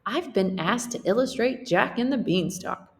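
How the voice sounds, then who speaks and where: A woman's voice: medium pitch, lively intonation, moderate pace. A woman teacher reading a picture book aloud as a lesson for children, explaining things simply and checking in with questions.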